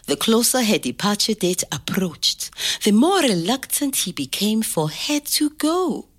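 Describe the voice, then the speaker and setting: An older woman telling a family story to a child, slow and expressive, pausing to ask gentle questions. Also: on the high side. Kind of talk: oral history storytelling to a child.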